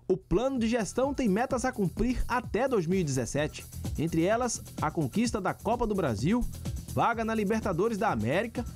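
A man's voice: high-pitched, lively intonation, normal speed, soft background music. A man with a Brazilian accent narrating a scripted sports news report.

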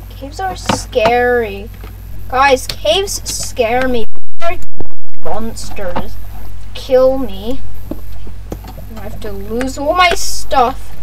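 A high-pitched gaming host talks slowly through casual gameplay commentary. Phrases often get repeated and aimed straight at viewers, over some faint background noise.

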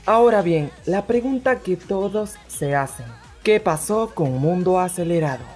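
A woman's voice: fairly low pitch, expressive, unhurried, darkish tone. A young adult woman narrating a scripted vlog addressed to fans, as a fandub creator. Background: background music.

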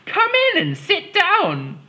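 A man's voice: very high-pitched, huge pitch swings, slow pace, bright, forceful tone, pitched above the performer's own voice, exaggerated voice. A man voicing an old woman in a storybook, sweetly welcoming. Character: old woman, giant's wife. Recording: dull lo-fi recording, from off-mic.

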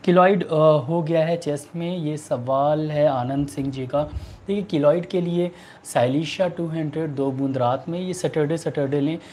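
A man in his thirties with an Indian accent, a doctor answering viewer questions in a halting, repetitive way. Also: fairly high pitch, darkish tone, a little hiss or hum. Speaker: homeopathic doctor hosting a live online clinic. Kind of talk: answering viewer questions, giving remedy advice. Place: small furnished room indoors.

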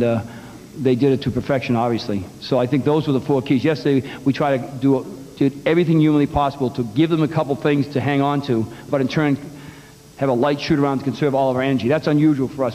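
A man's voice: mid-range voice, warm tone. A middle-aged man coaching basketball speaks bluntly and with emphasis, walking through his plan point by point. He talks into a table microphone in a big press room, and a long reverb trails his words on a live TV feed. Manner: blunt, emphatic, explains plans step by step. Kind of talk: postgame press conference answers. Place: press conference room in a large arena.

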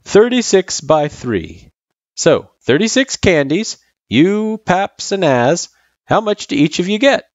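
A storyteller plays an easygoing guy in a story reading, tutoring with patience. His speech is casual and slangy, with lively swings in pitch. The recording is dry and slightly dull. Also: slow pace, no echo. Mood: encouraging.

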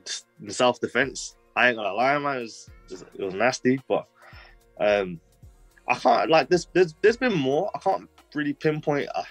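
A man's voice: mid-range voice, expressive, normal speed, no echo, faint music behind, poor audio. A young man in his twenties telling personal stories casually, rambling with lots of fillers and street slang. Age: 20s.

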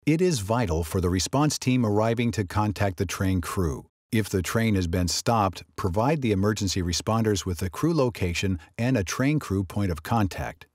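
A man reading scripted narration for a training video in a measured, instructional way. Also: fairly low pitch, expressive, darkish tone, no room sound, studio quality.

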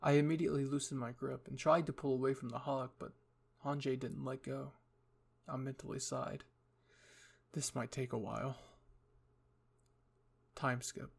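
A man's voice: medium pitch, flat delivery, normal speed, creaky, pauses often, breathy edge. A man reading a scripted story in the first person as its narrator. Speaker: fan fiction reader.

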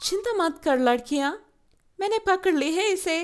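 A woman playing a kindly, authoritative female police inspector in a children's doll story, measured and instructive. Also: extremely high pitch, lively intonation, loud music behind the voice. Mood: calm, approving.

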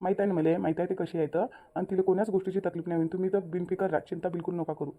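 An earnest young son-in-law voiced by a woman in a village cartoon. The voice is low and very dark, talking quickly and soothingly in repeated assurances with a Vidarbha Marathi accent. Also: fairly even intonation, slight background noise, lightly acted. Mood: reassuring. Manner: soothing, repetitive. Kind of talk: amateur cartoon story dialogue.

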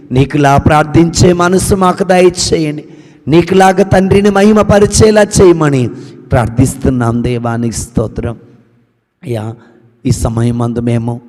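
A man leading an intercessory prayer, reciting long rhythmic lists of petitions that drop low at the end of each request. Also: a little mellow, in a large hall. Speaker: prayer leader.

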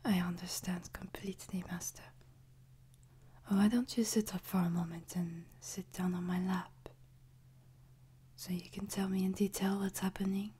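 A woman playing a soothing, devoted French maid in a whispered ASMR sleep-aid roleplay, with a French accent and a soft, breathy delivery. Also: mid-range voice, little pitch movement, moderate pace, pauses often, natural voice. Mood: gentle, attentive. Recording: noticeable background noise, ambience.

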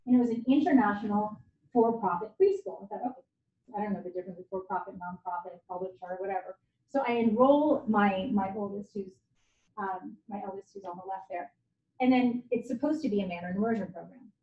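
A woman's voice: medium pitch, fast. A woman in her forties, a native Japanese speaker, giving a candid, conversational guest lecture at a university. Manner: candid, conversational, self-deprecating. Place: lecture hall. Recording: echoey hall, dull lo-fi sound.

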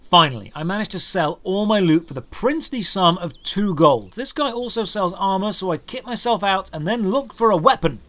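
A man delivering scripted comedic review narration in a sardonic, deadpan manner into a close microphone. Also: sits high, moderate pace, hardly any pauses. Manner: sardonic, dry deadpan humour. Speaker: video game reviewer, host. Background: clear background music.

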